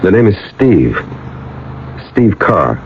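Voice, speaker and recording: An actor playing a bewildered diver in an undersea adventure, earnest and dramatic in a vintage radio drama style. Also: male voice, low-pitched, sing-song, a little hiss or hum, exaggerated voice. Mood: wary, wry. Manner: earnest dramatic narration, halting when alarmed. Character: bewildered Greek-born male diver recounting an undersea adventure. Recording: muffled old radio broadcast with music underneath.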